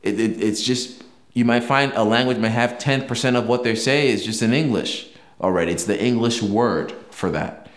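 A man's voice: medium pitch, moderate pace, roomy sound. A young man whose native language is Yoruba, hosting a casual language-learning video and explaining things with examples and frequent restarts. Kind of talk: casual talk to camera.

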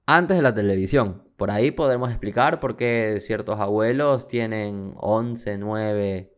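A man's voice: fairly low pitch, unhurried, clean tone. A man with an Ecuadorian accent co-hosting a podcast in casual chat, playful, with exclamations and questions. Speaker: podcast co-host.

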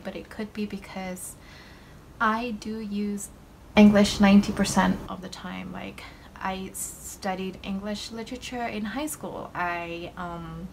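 A woman's voice: medium pitch, gravelly, a little hiss or hum, poor audio. A young woman from Malaysia hosting a budgeting channel, talking casually to camera while she counts cash at her desk, with lots of ums and likes. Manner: slow, relaxed, with many ums and likes. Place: indoors at a desk. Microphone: overhead camera framing desk and hands.

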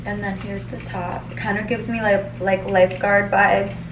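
A woman's voice: medium pitch, level tone, moderate pace, background noise. A woman in her twenties chatting casually to the camera at home, rambling with lots of fillers. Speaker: vlogger. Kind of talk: casual vlog chat to camera. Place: home living room and kitchen. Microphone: camera at arm's length.

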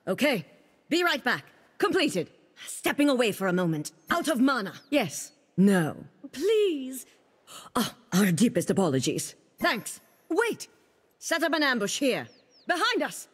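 A haughty celestial maiden for a video game. Her high voice moves in lively sweeps as she commands with regal formality, trailed by long reverb. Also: breathy edge, exaggerated voice. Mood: regal, composed, then crisp, commanding. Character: imperious cosmic maiden, celestial keeper of the stars. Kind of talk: video game character voice lines.

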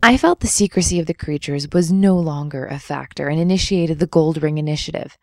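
A woman playing a proud, hot-headed military general in an audio drama, formal and defiant, with a husky voice. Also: sits low, fairly quick, darkish tone, no echo, clean studio sound. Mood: defensive, formal.

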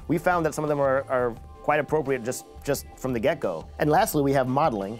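A man's voice: mid-range voice, a little mellow. A man, hosting a gear review in a conversational way. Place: small acoustically treated studio, indoors.

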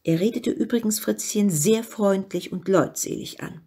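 A woman reading a fairy tale as scripted narration, expressive and with dramatic emphasis. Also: medium pitch, normal speed, slightly rough. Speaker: story reader.

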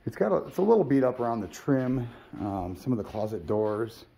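A man giving a casual, off-the-cuff property walkthrough in a low-key, matter-of-fact way, as if thinking aloud. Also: sing-song, fairly quick, creaky, dark tone, breathy edge, rough recording. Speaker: real estate agent giving a property walkthrough. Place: walking through a condo, briefly outdoors. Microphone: handheld phone at arm's length. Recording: handheld phone recording with background noise.

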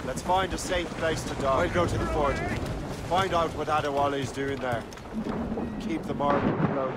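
A man's voice: fairly high pitch, moderate pace, lightly acted. A man playing a resolute, wry ship captain. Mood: steady. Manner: steady, commanding, wry. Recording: loud wind and sea noise.

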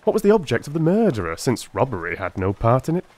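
A man giving a dramatic, deliberate reading of Victorian detective fiction as an audiobook narrator. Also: mid-range voice, wildly swooping pitch, a little mellow. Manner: theatrical, deliberate.

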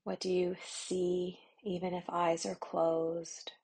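A woman leads a guided meditation at a very slow, unhurried pace. Her voice is low, husky and a little gravelly, with long pauses and some phrases sinking into a whisper. She keeps her tone flat and even, with little rise and fall. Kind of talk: guided yoga nidra meditation.

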